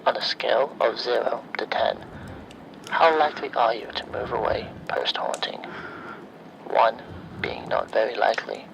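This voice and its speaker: Man acting a creepy, creaky automated survey voice. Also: mid-range voice, fairly bright tone, a little hiss or hum, low-quality recording. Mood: eerily polite. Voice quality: breathy, often near-whispered, with creaky edge. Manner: measured, formulaic, deliberate announcements. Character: sinister automated phone survey voice, male, coolly polite. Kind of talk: horror ASMR roleplay, scripted phone menu.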